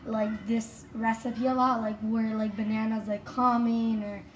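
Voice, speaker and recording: A woman's voice: a little high, little pitch movement, unhurried, reverberant, crowd noise. A woman interviewing her grandmother with curious, casual questions and many "like"s. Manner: curious, casual, says "like" often. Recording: voice from a distance with background noise.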